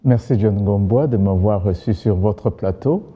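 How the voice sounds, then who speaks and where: Man w kinyarwanda accent explaining things slow and careful, very dark voice, dull muffled sound. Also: on the low side, breathy edge, big-room sound. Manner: deliberate, explanatory. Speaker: guest expert. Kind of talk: studio interview. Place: TV studio.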